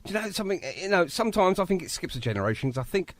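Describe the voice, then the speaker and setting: A man hosts a chatty radio interview. His voice sits fairly high and swings with lively intonation. The sound is dry and close, and he is effusively agreeable. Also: very fast, dry recording. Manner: effusive, agreeable, frequently affirming. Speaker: radio show host.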